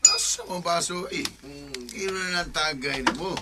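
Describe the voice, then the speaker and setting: A man's voice: sits high, normal speed, over loud music, close to an everyday voice. A man playing a casual, protective older brother in a Bisaya radio drama. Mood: tipsy, hospitable. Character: protective casual older brother, young adult drinking buddy.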